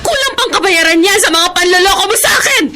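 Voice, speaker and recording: A woman's voice: very high voice, expressive, quick pace, sharp tone, heavily acted. An actress playing an emotional betrayed partner and regretful mother in a Filipino radio drama, with a Filipino accent. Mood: furious, tearful.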